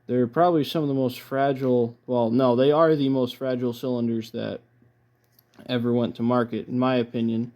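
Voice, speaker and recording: Guy doing a casual collector unboxing, kinda halting, thinking out loud as he goes. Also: mid-range voice, steady tone. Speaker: record collector presenting his haul.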